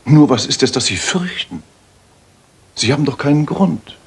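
An actor playing a brisk, self-assured master detective in a German radio drama, with precise, probing questions and a husky voice. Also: male voice, fairly high pitch, animated, exaggerated voice. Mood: probing, attentive.

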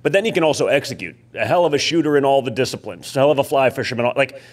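A man's voice: mid-range voice, animated, very fast. A man co-hosting a podcast, chatting casually in a blunt, informal way. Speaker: podcast co-host. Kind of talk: casual chat.

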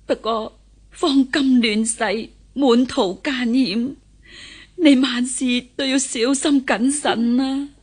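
An actress playing a grave, caring older lady of the house, giving solemn, measured instructions in a historical radio drama. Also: high voice, fairly even intonation, slightly rough, exaggerated voice. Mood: resigned, worried.

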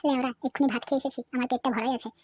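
A woman's voice: high voice, level tone, very fast, background noise, close to an everyday voice. A woman voicing a crude, cheeky and cowardly young village man in a comedy cartoon, full of slang. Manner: crude slang, pleading when caught. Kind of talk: Bengali comedy cartoon dubbing. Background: background music.

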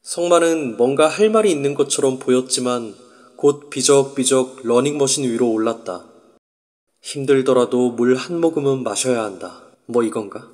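A man's voice: medium pitch, moderate pace, darkish tone, natural voice. A man narrating as a wry, musing young man in first-person inner monologue. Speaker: narrator and lead.

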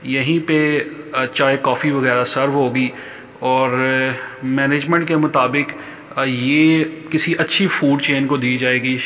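Male Pakistani vlog host, mid-pitched, polite and halting tour narration, long reverb on the voice. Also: fairly even intonation, a bit bright. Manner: halting, polite, addresses viewers formally. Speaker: real estate vlogger and host. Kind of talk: informal site tour narration.

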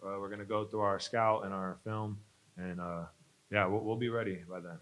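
A man's voice: on the low side, flat delivery, moderate pace, a bit bright. A young man, a college basketball player, earnestly explaining the game to reporters at a press conference, speaking into a desk mic. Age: young adult. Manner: earnest, explanatory, some fillers. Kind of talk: postgame press conference answers. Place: press conference room, seated at a table. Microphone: desk mic on a stand.

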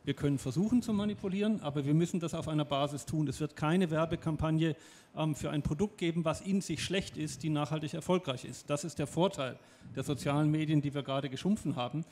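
A man's voice: a little high, fast talker, darkish tone. A middle-aged man speaking on a stage panel into a handheld microphone, explaining his views with confidence and personal anecdotes. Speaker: panelist, advertising expert. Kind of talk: panel discussion. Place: stage of a lecture hall.

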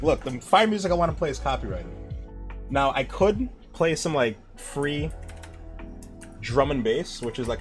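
A young man chatting casually on a live stream, rambling and swearing as he reads viewer messages. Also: mid-range voice. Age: young adult. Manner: rambling, swearing, laughing, with animated bursts. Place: dim room at a desk.

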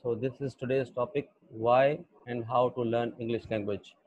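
A young Pakistani man with a Pakistani accent, lecturing an online English class in a halting way with many fillers. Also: mid-range voice, fairly even intonation, no echo. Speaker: English teacher. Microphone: computer mic on a video call. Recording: dull lo-fi video call audio.

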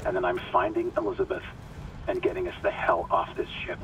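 A man acts out a weary engineer in a sci-fi horror game, logging his report with dramatic urgency. The recording is clearly noisy, like an audio log aboard a rumbling ship. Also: fairly even intonation, a little fast, dull audio, natural voice. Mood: grim, determined. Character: weary, alarmed male engineer aboard a mining spaceship.

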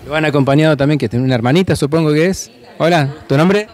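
An Argentine man reporting from an indoor event, his voice sitting a little high. He asks question after question in a friendly, upbeat way, and he speaks into a handheld microphone. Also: fast talker, a little mellow. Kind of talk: on-the-spot event interview. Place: indoor school hall.

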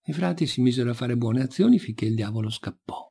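Husky male storyteller. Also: medium pitch, expressive, fast talker, warm tone. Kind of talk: expressive reading of a folk tale.

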